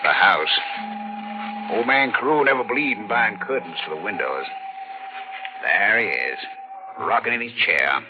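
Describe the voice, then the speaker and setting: An American actor playing a scheming, menacing crook in an old radio thriller, with a sly, mock-friendly, insinuating way of talking. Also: male voice, fairly low pitch, animated, unhurried, long pauses, noisy background. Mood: smug, conspiratorial. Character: scheming, menacing crook after his uncle's money. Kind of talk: 1940s radio thriller acting. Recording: dull old radio drama recording.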